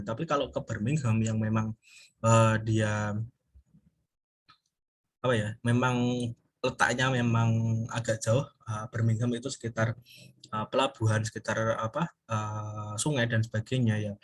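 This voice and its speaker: A man giving an informal recorded English lecture as a university teacher, explaining haltingly and often pausing to search for words. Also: medium pitch, slightly rough.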